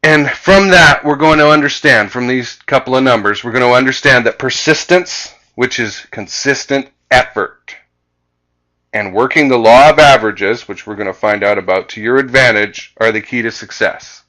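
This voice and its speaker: Canadian guy doing a sales training talk, bright punchy voice, really emphatic and deliberate. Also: mid-range voice, rough recording. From Vancouver, Canada. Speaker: sales coach. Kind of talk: instructional slide presentation.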